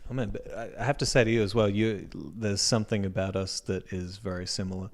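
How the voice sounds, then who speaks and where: An Australian man with a low-set voice talks through his plans as a guest on an interview show. He is earnest and reflective, and his sentences fade out softly at the ends. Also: steady tone, a little fast. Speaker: guest, music educator. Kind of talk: casual interview talk.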